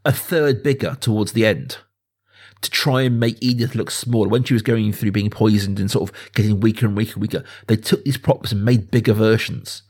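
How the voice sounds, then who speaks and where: A man's voice: fairly low pitch, steady tone, fairly quick, darkish tone, husky. A man hosting a casual film discussion podcast, explaining things in thoughtful, enthusiastic detail. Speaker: podcast host.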